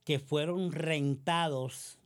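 A woman's voice: deep voice, fairly even intonation, takes it slowly. A woman with a Puerto Rican accent delivering a combative political monologue, sarcastic and accusatory, full of rhetorical questions. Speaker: political commentator.

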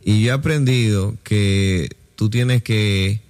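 A man's voice: lowish voice, slow, a little mellow. A man with a Dominican accent talking casually in a group discussion about faith, telling stories and asking rhetorical questions. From Dominican Republic.

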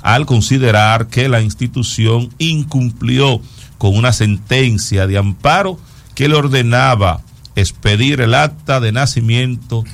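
A man's voice: mid-range voice, measured pace, vocal fry. A man with a Dominican accent giving conversational news commentary as a talk show host, explaining things and repeating words for emphasis.

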